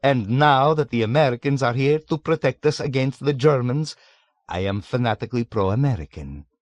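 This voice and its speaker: A man voicing a cynical, suave 107-year-old man who mocks with relish, pitched above his own voice. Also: expressive, normal speed, no room sound, lightly acted. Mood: scoffing. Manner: suave, mocking, scoffing.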